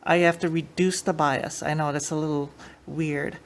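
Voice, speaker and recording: A woman's voice: sits low, fairly even intonation, normal speed. A woman giving a casual how-to demonstration as a host, explaining informally and a little hesitantly. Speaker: host of a tape deck tech channel. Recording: clear background noise, room ambience.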